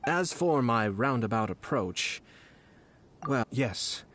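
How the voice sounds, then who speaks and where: An actor playing a courteous, shrewd young noble clan head in a video game, speaking in measured, deliberate phrases. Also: male voice, mid-range voice, expressive, fairly bright tone. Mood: grave, reflective. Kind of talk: dramatic video game dialogue. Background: music.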